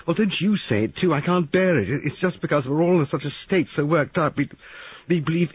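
A man's voice: fairly low pitch, sing-song, a little fast, a little hiss or hum, pitched above the performer's own voice. A man voicing an anxious, distraught young wife in an audiobook story, breathless and pleading, with stammers. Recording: narrow-band lo-fi radio-like sound.

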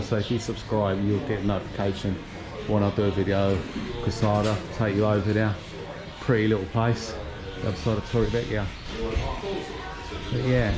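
A man giving a relaxed, chatty walking tour of an outdoor shopping plaza, talking while he walks with his camera. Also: fairly low pitch. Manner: relaxed, chatty, pointing things out. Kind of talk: casual walking tour narration. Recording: noisy outdoor street recording.

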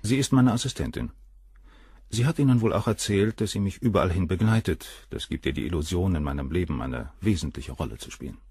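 An actor playing a cold, condescending, articulate professor with a husky voice in a radio crime drama. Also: male voice, low-pitched, lively intonation, brisk, darkish tone, no room sound, studio quality, full character voice. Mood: disdainful. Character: cold, haughty, gaunt male professor, a famous doctor.

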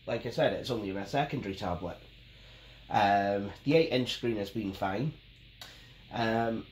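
Scottish tech vlogger with a cold, low voice, nasal, roomy home-office sound with faint noise. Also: male voice, darkish tone. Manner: rambling, with many ums and restarts. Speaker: tech video host. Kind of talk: casual unboxing and review. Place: small home office, indoors. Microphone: camera mic at the desk.

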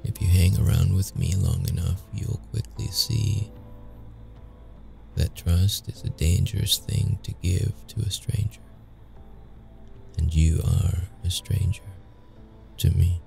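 A man's voice: low voice, fairly even intonation, creaky, warm tone, soft background music, heavily acted. A man playing a young wounded vampire, secretive and brooding, in an intimate, hesitant audio roleplay style. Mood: brooding, grave. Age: young adult. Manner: halting, hesitant, stammering when flustered.

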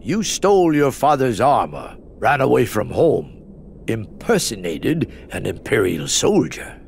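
An actor playing a stately elderly emperor, stern yet benevolent, speaking formally and with solemn measure in a game cutscene. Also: male voice, medium pitch, very animated, unhurried, vocal fry, slight background noise.

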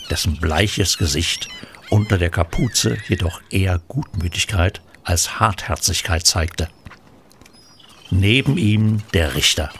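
A man narrating a radio play from a script in a measured, sombre storytelling manner. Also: low-pitched, a bit bright. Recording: clear background noise.

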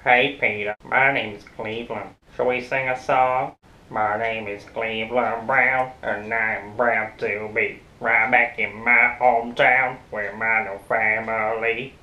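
A man voicing a mild-mannered male cartoon neighbour in a soft, low voice, half singing. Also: steady tone, slow pace, roomy sound, muffled recording, exaggerated voice. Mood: mellow.

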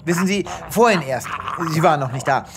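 A self-important male space veterinarian played by an actor in a comic sci-fi audio play. His lively, fairly high voice turns brusque and pompous, with clear noise behind it. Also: close to an everyday voice. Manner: pompous, brusque, halting when flustered. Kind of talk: comedic sci-fi audio drama acting.

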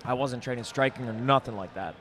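A man's voice: mid-range voice, brisk, a bit bright. A young man co-hosting a fight podcast, chatting and telling stories in an informal, slangy way. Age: young adult. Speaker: podcast co-host, MMA fighter and coach. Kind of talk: casual fight recap and storytelling. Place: indoor event venue, at a table. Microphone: podcast microphone on boom arm. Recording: busy crowd behind the voice.

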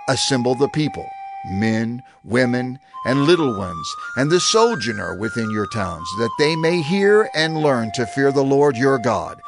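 An elderly prophet addressing his people in a scripture drama. His delivery is earnest and emphatic, with lively, wide-swinging intonation over clear background music. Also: mid-range voice, a little slow. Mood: resolute, encouraging.

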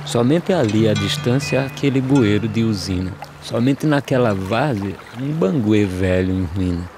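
Low-voiced poor migrant labourer, music behind. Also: male voice, lively intonation. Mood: hopeful wonder. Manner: measured, declaimed rhyming delivery. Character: poor, weary migrant farm labourer from the dry backlands. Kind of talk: verse drama in an animated film.

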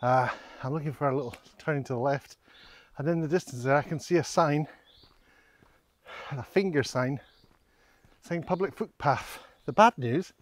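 A man's voice: on the high side, moderate pace, pauses often. A middle-aged man giving casual, self-deprecating commentary to a handheld camera as he walks outdoors. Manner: self-deprecating, wry, hesitant asides. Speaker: vlogger, walking guide. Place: outdoors on village streets and country lanes. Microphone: handheld camera at arm's length.

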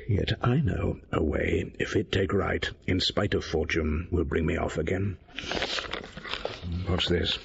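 A proud, powerful cardinal in a Shakespearean radio play. His husky voice declaims in measured rhetoric, on a slightly dull recording. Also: low-pitched, exaggerated voice. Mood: brooding, despairing. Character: proud cornered cardinal, a powerful schemer facing ruin. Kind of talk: classical Shakespearean audio drama acting.

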